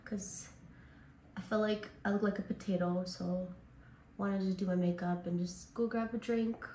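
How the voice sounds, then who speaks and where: A woman from Canada, hoarse and raspy from a cold, chatting casually as a beauty vlogger through a makeup tutorial. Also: medium pitch, fairly even intonation, moderate pace, warm tone, echoey room, a little hiss or hum, low-quality recording. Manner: chatty, says like and honestly a lot.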